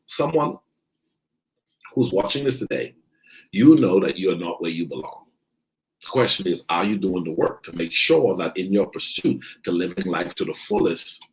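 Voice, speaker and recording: A man's voice: sits low, rough recording. A man from the Bahamas giving an emphatic motivational talk as a coach, repeating his key phrases for weight. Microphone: online video stream.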